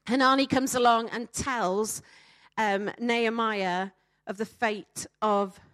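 A woman with a Welsh accent preaching a sermon to a congregation, speaking emphatically with rhetorical questions and dramatic pauses. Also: a little high, steady tone, a bit bright, no echo.

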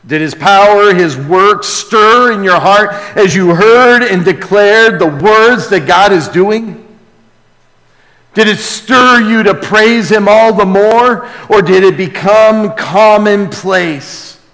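A man preaching and praying to a congregation, earnest in manner, swelling from hushed to emphatic. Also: high-pitched, slow. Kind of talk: sermon and prayer to a congregation. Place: large church room or hall. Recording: reverberant hall sound.

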